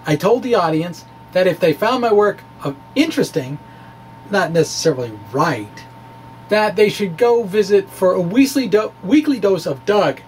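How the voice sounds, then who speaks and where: Male amateur science presenter, high voice, informal and halting blog reading, slight background hum. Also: expressive, a little mellow, poor audio. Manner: informal, folksy, halting explanations. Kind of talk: dramatic reading of a physics blog, conversational asides.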